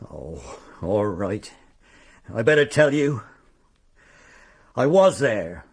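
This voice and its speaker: Resentful guardian for radio drama: husky, defensive, self-justifying, pitch jumping about. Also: male voice, sing-song, slow, exaggerated voice. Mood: grudging. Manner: defensive, grudging.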